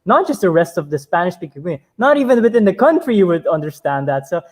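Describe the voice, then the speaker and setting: A man's voice: high voice, sing-song, fast talker, darkish tone. A man hosting a podcast, chatting enthusiastically with frequent affirmations and filler words. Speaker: podcast host. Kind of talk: casual interview chat.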